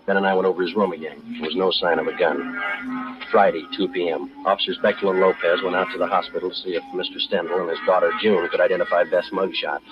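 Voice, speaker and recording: Actor as a fast-talking, low-voiced deadpan police detective. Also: male voice, lightly acted. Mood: matter-of-fact. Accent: American. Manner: clipped, deadpan, matter-of-fact. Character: terse, businesslike male police detective narrating his case. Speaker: lead actor and narrator. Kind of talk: 1950s radio police drama acting and narration. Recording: dull vintage radio recording, music behind.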